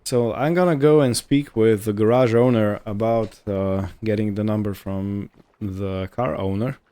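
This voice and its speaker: Slow, low-voiced man narrating gameplay. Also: fairly even intonation, dark tone, clean tone. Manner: unhurried, thinking aloud in short phrases. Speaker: gaming video host. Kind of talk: casual roleplay game commentary.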